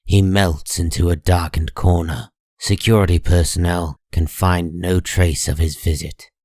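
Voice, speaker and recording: A man with a low voice delivers dramatic comic-book narration. He is solemn and measured, taking his time, and the recording is dry with no room sound. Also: steady tone, darkish tone, dry recording.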